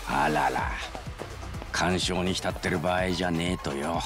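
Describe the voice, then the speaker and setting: A man voicing a laid-back, world-weary tough companion in a video game, gruff, casual and laconic. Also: low voice, unhurried, over music. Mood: dry, unhurried. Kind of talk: dramatic video game voice acting.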